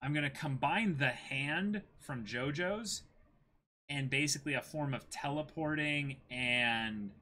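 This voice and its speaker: A man's voice: a little high, a little slow, pushed and bright. A man in his twenties chatting casually and animatedly as a co-host on an anime discussion stream, often laughing. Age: 20s. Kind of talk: casual chat.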